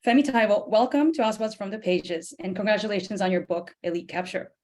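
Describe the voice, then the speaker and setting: A hoarse woman on a video call, originally from Japan, introducing a guest and asking polite, thoughtful questions in an academic book talk. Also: medium pitch, brisk, darkish tone. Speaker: discussant.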